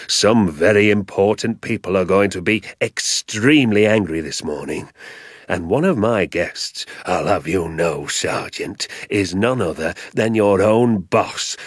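A man voicing a blustering, pompous rich landowner who shouts and bullies, in a storybook reading. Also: low voice, breathy edge, dry recording, studio quality. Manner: shouting, indignant.

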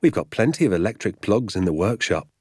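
Storybook dad, played by a man, giddy with triumph and boastful, emphatic, relishing every word, wildly varied pitch. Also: big pitch swings, a little fast, a little mellow, slightly rough, no echo, studio quality. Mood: grandly emphatic. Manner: grandly emphatic, stretches words with relish.

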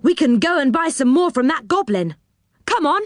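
Woman reader as resilient little fairy-tale man: matter-of-fact, highish pitch, expressive, dry sound. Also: normal speed, no echo. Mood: practical, brighter.

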